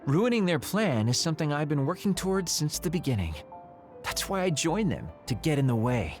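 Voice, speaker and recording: A man's voice: on the high side, big pitch swings, a little fast, a little mellow, faint music behind. A man voicing a cynical, guarded young man in an English video game dub, speaking in a wry, blunt way. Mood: defiant.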